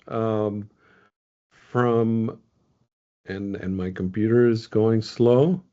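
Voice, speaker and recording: A man's voice: medium pitch, darkish tone. Chatty man on a muffled video call. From Venezuela. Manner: loose and chatty, many ums and restarts. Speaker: scientist presenting results. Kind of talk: casual webinar presentation.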